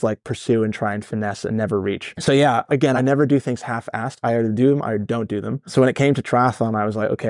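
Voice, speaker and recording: Casting note: Dutch-born man, thirties, American accent, dry close mic, chatty and energetic guest. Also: mid-range voice, no room sound. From Netherlands, raised in California. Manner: energetic, rambling, swears casually. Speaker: guest, startup founder. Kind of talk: casual podcast interview.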